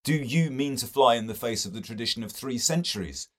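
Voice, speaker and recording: A man's voice: medium pitch, animated, a little fast, fairly bright tone. A man voicing a sceptical male literary scholar in a novel, with a carping manner.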